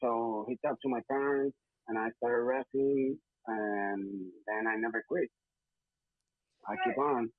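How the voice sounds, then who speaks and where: A young Puerto Rican man talks haltingly, searching for English words between fillers. His voice comes through thin and phone-like, with a dry, close sound and no room around it. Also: medium pitch, steady tone, dry recording. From Puerto Rico. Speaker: interview guest, wrestler. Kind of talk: casual interview answers.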